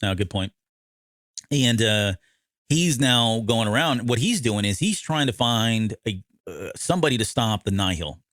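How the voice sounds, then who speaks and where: A man's voice: lowish voice, expressive, a little slow, a bit bright, no echo, clean studio sound. An American man from the Midwest co-hosting a podcast, recapping a story in a casual, informal way with asides. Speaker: podcast co-host.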